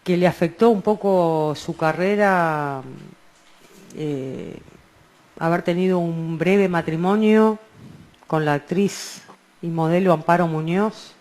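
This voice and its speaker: A woman co-hosting a radio show, talking about music in a casual, halting, hesitant way. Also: lowish voice, darkish tone. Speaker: radio co-host.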